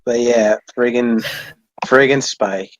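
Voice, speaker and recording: Mid-pitched man in casual podcast chat. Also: fairly even intonation, slow pace, slight background noise, low-quality recording. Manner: informal, mild swearing. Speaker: podcast co-host. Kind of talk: casual fan discussion.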